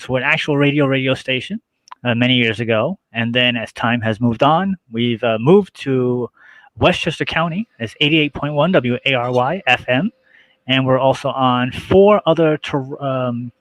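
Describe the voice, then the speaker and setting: A middle-aged man speaks casually as a guest on a show. His pitch is average and the sound is dry and close to roomless. He works through details in a halting, itemizing way. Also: fairly bright tone, no room sound, rough recording. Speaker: guest, radio show host. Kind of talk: casual interview talk.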